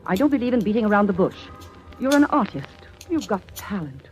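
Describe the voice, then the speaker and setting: Actress as a blunt, animated older aunt. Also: female voice, medium pitch, brisk, soft background music, close to an everyday voice. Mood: indignant, insistent. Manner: blunt, emphatic. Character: blunt, meddling older aunt, fiercely protective. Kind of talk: 1940s radio drama acting.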